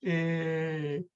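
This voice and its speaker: A man's voice: fairly high pitch, clean tone. A Colombian man in his thirties, telling his life story in a casual interview, rambling and reflective with many fillers and restarts. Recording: muffled lo-fi recording.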